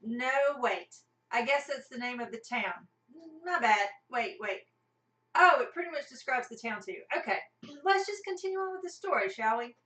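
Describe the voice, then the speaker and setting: A woman's voice: on the high side, animated, moderate pace, bright, forceful tone. A woman narrating a scripted comic radio play as part of an amateur cast. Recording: roomy, mic at a distance.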